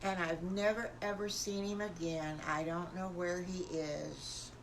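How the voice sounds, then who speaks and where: An earnest little wolf boy from a children's storybook, played by a woman. He sounds innocent and polite, speaking slowly in a childlike way. There is slight noise behind the voice. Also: fairly low pitch, fairly even intonation. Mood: matter-of-fact, sad.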